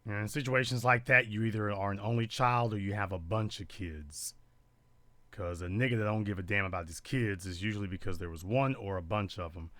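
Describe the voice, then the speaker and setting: Blunt male talk host, bright forceful voice. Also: fairly low pitch, fairly quick, dry recording. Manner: blunt, lecturing. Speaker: call-in show host. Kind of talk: live call-in talk show.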